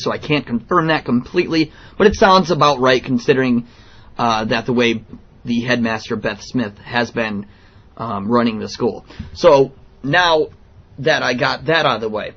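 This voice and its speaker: A man hosting a radio show, giving opinions in a halting, blunt, indignant monologue. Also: medium pitch, fairly bright tone, slightly rough, dull audio.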